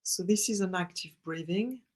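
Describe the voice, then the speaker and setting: A breathwork facilitator guiding a client through a breathing session with gentle instructions and spoken affirmations. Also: mid-range voice. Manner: slow, gentle, soothing instructions.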